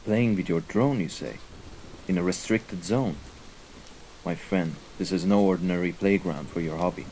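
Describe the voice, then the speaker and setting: A man playing a composed, menacing mafia right-hand man in an audio roleplay, speaking in a formal, measured way. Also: low-pitched, darkish tone, slight background noise, natural voice, rough recording. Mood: coolly menacing. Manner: formal, measured, deliberate wording. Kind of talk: scripted audio roleplay acting. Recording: rain and ambience behind the voice.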